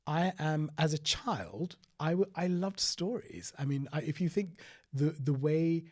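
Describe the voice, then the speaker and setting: A middle-aged man from Sri Lanka, talking reflectively as an interview guest, with frequent restarts and soft trailing phrases. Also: fairly high pitch, animated, measured pace. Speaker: guest, former paediatrician. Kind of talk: conversational interview.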